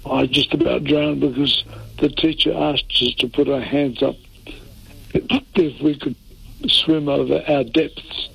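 An older man from Italy, a lawyer answering interview questions in a halting way, full of fillers and restarts. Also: on the high side, gravelly, slightly rough, lo-fi sound. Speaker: interview guest, defence lawyer.

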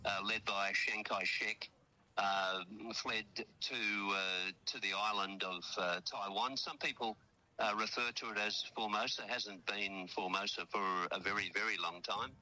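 Chatty Australian man, bright voice. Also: fairly low pitch, fairly even intonation, a little slow, poor audio. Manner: chatty, rambling, many fillers and restarts. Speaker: radio correspondent. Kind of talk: casual chat on a phone link. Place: outdoors at a memorial hall abroad.

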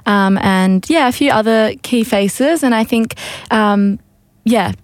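A woman's voice: fairly high pitch, slow pace, no echo. A woman explaining her community project in a casual interview, with frequent ums and fillers. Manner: hesitant, many ums and fillers. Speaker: project coordinator.

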